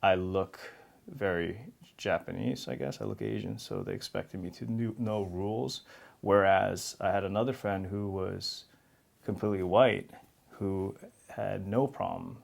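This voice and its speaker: Interview guest: New man, low gravelly voice, candid and easygoing, laughs through anecdotes. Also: steady tone, a little slow. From New York City. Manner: candid, laughs while telling stories. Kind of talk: casual interview conversation.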